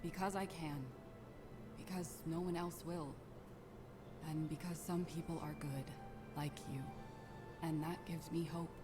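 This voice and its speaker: An actress playing a guarded lone warrior in an animated fairy tale, terse, stern and reserved. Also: female voice, deep voice, unhurried, pauses often, music in the background. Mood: sombre, reserved. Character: guarded lone warrior woman, weary protector of villagers. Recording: noisy ambience behind the voice.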